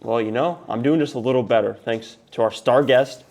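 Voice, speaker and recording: A man's voice: medium pitch, a little fast, darkish tone. Teen boy, student host, casual school chat, upbeat, playful. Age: teens. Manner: upbeat, playful, hypes the guest.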